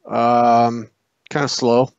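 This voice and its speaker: A man's voice: medium pitch, monotone, extremely slow, vocal fry, clean tone, dry recording, dull audio. A man co-hosting a casual chat, dropping short, wry remarks. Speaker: co-host.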